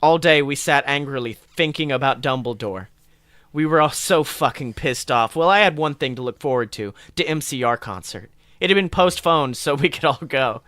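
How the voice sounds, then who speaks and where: A man's voice: mid-range voice, animated, moderate pace, a bit bright, no room sound, clean studio sound. A man co-hosting a podcast, giving a playful, mock-dramatic reading of a story with sardonic asides. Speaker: podcast co-host.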